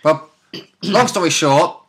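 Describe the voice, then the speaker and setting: A man's voice: fairly high pitch, expressive, takes it slowly, fairly bright tone. A man co-hosting as a player, chatting casually about a tabletop role-play game. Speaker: player and co-host.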